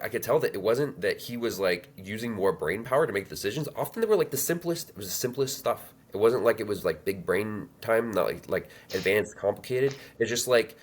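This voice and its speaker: A man in his thirties telling his life story as an interview guest, halting with many fillers and restarts. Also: lowish voice, husky. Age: 30s. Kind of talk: reflective personal storytelling.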